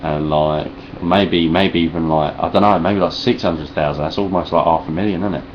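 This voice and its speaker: Low-voiced essex geezer mumbling sarcastic rants at his webcam, bit of hiss, dull muffled audio. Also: normal speed. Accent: Cockney Essex. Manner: deadpan, sarcastic, rambling, heavy swearing. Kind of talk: sweary deadpan vlog response.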